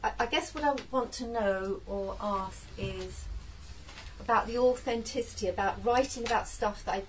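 A woman's voice: fairly high pitch, normal speed. A woman from Wales asking a poet a question from the audience, rambling and searching for her words. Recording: distant room mic with faint noise.

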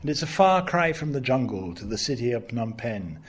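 A man giving a dramatic poetry recitation in a measured, rhythmic way, swelling at times into loud declaiming. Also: mid-range voice, big pitch swings, husky. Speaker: poet reading his work.

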